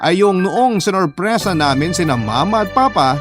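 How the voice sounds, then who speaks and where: A fairly high-pitched young man tells a family story in the first person. He sounds fond and nostalgic about his older brother, with music clearly playing underneath. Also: animated, moderate pace. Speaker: narrator of a letter story.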